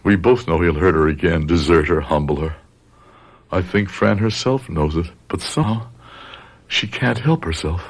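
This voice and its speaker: A man's voice: low-pitched, moderate pace. An actor playing a bitter, grieving middle-aged father with a grave, dramatic manner in a radio drama. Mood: brooding, resolved. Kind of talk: radio drama acting with first-person narration.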